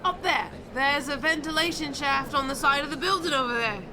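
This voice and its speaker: A woman voicing a sharp, bossy young girl detective who gives brisk orders. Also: high voice, background noise, lightly acted. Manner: brisk, commanding. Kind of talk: audio drama acting.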